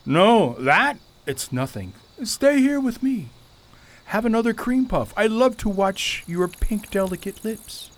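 A man's voice: high voice, sing-song, measured pace. A man playing a pompous, foppish goat king in a radio play, with florid, theatrical, wordy speech. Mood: smitten, cooing.

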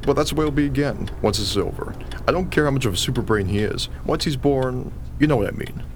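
A man's voice: mid-range voice, quick pace, a little hiss or hum, natural voice. A man playing a nervous expectant husband in a radio play, trying to sound confident. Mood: reassuring. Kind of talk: radio drama acting.

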